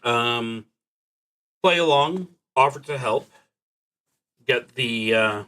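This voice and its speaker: Slow, halting man narrating solo roleplay. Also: medium pitch, sharp tone. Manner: hesitant, halting, trailing off mid-thought. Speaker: solo tabletop game player and streamer. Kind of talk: improvised live commentary on a solo roleplaying game, thinking aloud.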